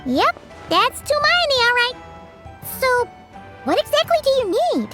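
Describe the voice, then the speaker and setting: A woman voicing a tiny, excitable, childlike female fairy companion with a squeaky voice in a video game. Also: unhurried, exaggerated voice. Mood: cheeky. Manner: refers to self in third person, exclamatory. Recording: loud music behind the voice. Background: music.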